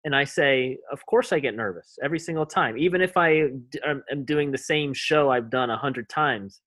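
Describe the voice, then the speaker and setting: A man's voice: mid-range voice, moderate pace, fairly bright tone, no room sound. A man hosting a podcast, asking questions in an easygoing, encouraging way. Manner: easygoing, encouraging, lots of yeahs. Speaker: podcast host. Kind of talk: casual interview.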